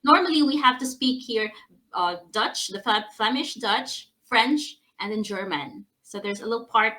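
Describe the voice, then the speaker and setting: A woman with a bright, forceful voice, pitched a little high, hosting a relaxed interview. She talks in a bubbly, effusive way, gushing over what her guest says. Her colours every line, and her is Hiligaynon. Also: moderate pace. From Philippines. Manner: bubbly, effusive, laughs often. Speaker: host. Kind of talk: casual video-call interview.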